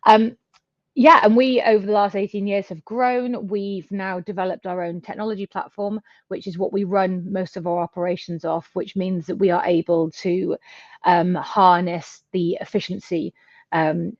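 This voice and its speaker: A woman's voice: lowish voice, little pitch movement, unhurried, no echo, lo-fi sound. A British woman speaking fluently and articulately as an interview guest, describing her firm. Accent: British English. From United Kingdom. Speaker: guest, accounting firm founder. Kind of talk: conversational interview.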